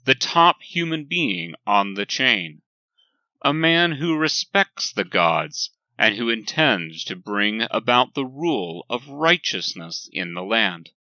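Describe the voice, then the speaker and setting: Male sermon reader, slow and emphatic, deliberate pauses, very crisp forceful tone, dry sound. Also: lowish voice, very animated, no echo, lo-fi sound. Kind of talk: scripted lecture-like sermon reading.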